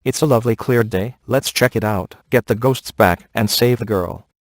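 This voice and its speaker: Actor as a wisecracking deadpan ghost hunter, dry recording. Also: male voice, medium pitch, moderate pace, dry recording, close to an everyday voice. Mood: wry. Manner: deadpan, sarcastic. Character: wisecracking, smug male ghost hunter in a comic adventure. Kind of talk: video game voice acting.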